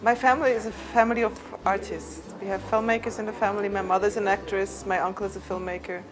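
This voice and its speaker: A woman's voice: mid-range voice, steady tone, fast, rough recording. A woman filmmaker who grew up in Switzerland, speaking hesitantly and searching for words as she tells her story. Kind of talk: interview testimony.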